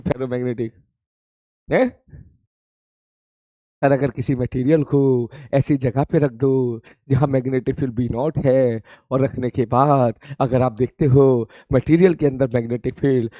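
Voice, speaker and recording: A hoarse male physics teacher chatting with his students during a live-streamed class, repeating greetings and calling them "beta". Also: mid-range voice, mellow, no room sound.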